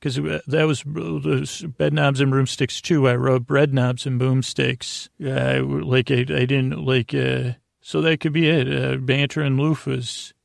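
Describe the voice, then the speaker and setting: A man with a creaky voice hosting a bedtime podcast in a lulling, friendly way, meandering and halting through tangents. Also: mid-range voice, little pitch movement, no room sound, clean studio sound. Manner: meandering, halting, tangent-prone, self-interrupting. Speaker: podcast host. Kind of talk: rambling bedtime monologue to help listeners sleep.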